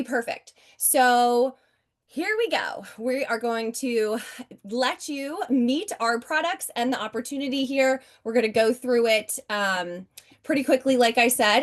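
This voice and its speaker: Upbeat woman in her forties pitching on a video call. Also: moderate pace. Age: 40s. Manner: upbeat, chatty, keeps addressing the audience directly. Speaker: network marketing presenter. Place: home.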